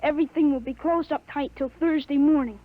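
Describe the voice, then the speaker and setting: A woman voicing a self-assured thirteen-year-old boy, radio drama, matter-of-fact, high-pitched, dull old recording. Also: fairly quick, close to an everyday voice. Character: earnest 13-year-old boy with grown man's mind. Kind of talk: 1950s radio drama acting.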